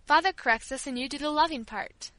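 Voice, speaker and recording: A woman's voice: high-pitched, fast, fairly bright tone, dry recording. A woman voicing an affectionate, grateful girl who offers exclaiming reassurance in an audiobook. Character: affectionate, grateful girl, one of the servant's charges. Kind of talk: audiobook character dialogue.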